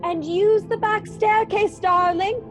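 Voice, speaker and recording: An actress playing a genteel young wealthy wife in a radio mystery, sweetly coaxing with drawn-out endearments. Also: female voice, extremely high pitch, fairly even intonation, music in the background, rough recording. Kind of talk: radio mystery drama acting.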